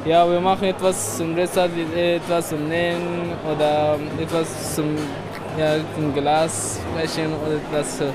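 A seventeen-year-old man from Afghanistan, answering interview questions in halting, simple phrases with pauses. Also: fairly high pitch, completely flat delivery, over loud music, rough recording. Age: teens. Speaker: trainee at a workshop. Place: busy indoor fair hall.

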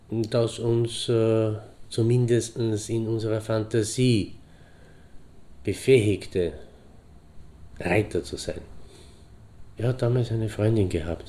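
An actor performs a middle-aged man, a wistful amateur horseman in an audio drama. His voice is low and soft-edged, and he speaks very slowly in halting, deliberate phrases. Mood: reflective. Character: pensive divorced hobby horseman fondly remembering a friend. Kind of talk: reflective anecdotal monologue in an audio drama.

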